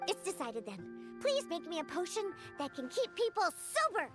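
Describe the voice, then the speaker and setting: Feisty, high-voiced little girl bartender. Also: wildly swooping pitch, moderate pace, exaggerated voice. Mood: gleeful scheming. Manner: indignant, dramatic. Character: feisty little girl bartender, grumbly, scheming, hates alcohol. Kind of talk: video game cutscene voice acting. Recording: game music behind the voice.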